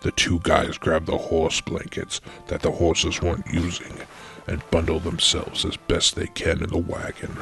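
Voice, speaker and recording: A man with a very low voice narrates a comic adventure story. His delivery is deadpan and full of gravelly fry. Music plays clearly behind him. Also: measured pace. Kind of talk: comedic scripted story narration.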